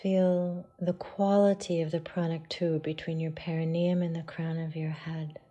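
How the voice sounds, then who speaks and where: A woman teaching yoga meditation, guiding listeners in a soft, hushed delivery with pauses. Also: sits low, flat delivery, highs cut off. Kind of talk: guided meditation.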